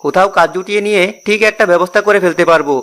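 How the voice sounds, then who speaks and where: Middle brother, male actor: decisive, hot-headed, high pitch, quick pace, fairy-tale cartoon. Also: a bit bright. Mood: confident. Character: headstrong, confident middle brother, hard-working young villager. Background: music.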